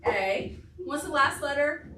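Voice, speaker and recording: Slow-paced woman leading preschool storytime, echoey room. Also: high-pitched, animated, fairly bright tone. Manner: encouraging, praising, simple instructions to small children. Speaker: children's storytime leader at a public library. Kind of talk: preschool storytime teaching, alphabet and counting songs. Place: indoor room at a library. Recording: echoey room, distant mic, clear background noise.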